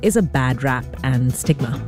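A reporter delivering scripted documentary narration in a measured, informative way. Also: medium pitch, lively intonation, music in the background.

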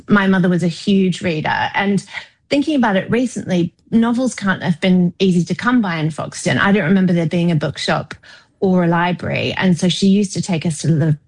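A woman's voice: fairly low pitch, darkish tone. A woman in her forties from New Zealand, a novelist guest speaking in a wry, reflective, articulate way with frequent "you know" asides. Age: 40s. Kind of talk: casual interview conversation.

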